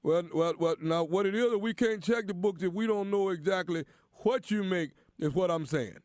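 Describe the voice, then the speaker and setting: Officious church deacon played by a man, high voice, halting, folksy delivery for a prank call, dry sound. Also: a little mellow, no echo, close to an everyday voice. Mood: insistent, placating. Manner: halting, repeats words, folksy. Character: bumbling, stammering church deacon, officious and apologetic prank caller.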